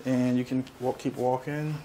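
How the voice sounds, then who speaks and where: A man's voice: mid-range voice, flat delivery, moderate pace, dark tone, low-quality recording. Reassuring male chiropractor, faint background noise. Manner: reassuring, repeats short prompts. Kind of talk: casual hands-on instruction to a patient. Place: small indoor chiropractic treatment room.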